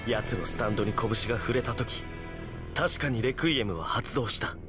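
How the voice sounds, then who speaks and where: A man voicing a serious, composed young hero in an anime video game, speaking gravely and deliberately. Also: mid-range voice, expressive, over music, close to an everyday voice. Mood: grave, puzzled.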